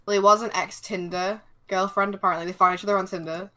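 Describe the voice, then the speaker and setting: Bright-voiced woman, blunt and chatty. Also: mid-range voice, steady tone, fast talker. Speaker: podcast co-host. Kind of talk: casual group chat. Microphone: online voice call.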